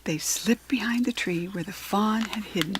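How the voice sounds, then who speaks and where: A woman's voice: mid-range voice, normal speed, slight background noise. A woman with an American accent reading a children's storybook aloud as a narrator.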